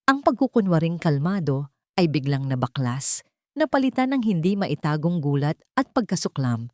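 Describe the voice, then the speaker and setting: A woman reading a dramatic scripted story as narrator, in a measured and solemn manner. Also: low voice, very animated, no room sound, clean studio sound.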